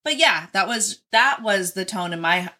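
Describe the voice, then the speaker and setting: A woman's voice: mid-range voice, animated, normal speed, pushed and bright. A young adult woman co-hosting a podcast, talking candidly and casually, swearing a lot and laughing easily. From Oregon, US. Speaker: podcast co-host.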